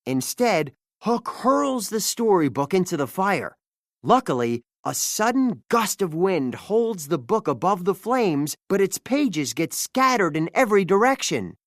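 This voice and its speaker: A narrator reading a children's storybook aloud in an animated, scripted style. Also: mid-range voice, measured pace, dry recording, studio quality.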